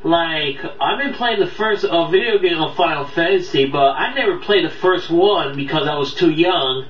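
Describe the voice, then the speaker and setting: A man hosting a casual countdown review, talking off the cuff in a rambling, halting way that often loses its thread. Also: on the high side. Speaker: video countdown host and reviewer. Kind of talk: casual unscripted review talk to viewers. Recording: roomy, distant, dull mic, faint noise.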